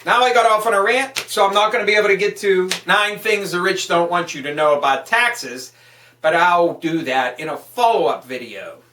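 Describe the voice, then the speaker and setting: A woman's voice: on the low side, normal speed, fairly bright tone. A woman delivering an angry political rant, emphatic, hectoring and full of swearing. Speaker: political vlogger. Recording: echoey room, distant microphone.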